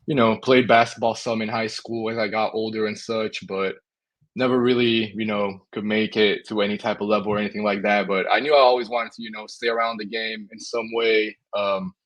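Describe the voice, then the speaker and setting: A young man from Spain talks as a guest on a podcast, relaxed and easygoing. His voice sits a little low, and he pads his thoughts with casual fillers. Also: fairly quick. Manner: relaxed, lots of "you know" fillers. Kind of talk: casual interview.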